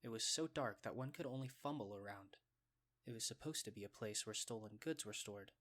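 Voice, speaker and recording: A man reading scripted story narration, with a measured, descriptive storytelling delivery. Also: on the low side, fairly bright tone.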